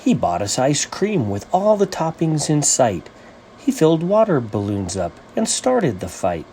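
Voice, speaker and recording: A man reading a rhyming children's story as its author, with an animated, sing-song rhythmic delivery. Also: on the high side, a little slow, slight background noise.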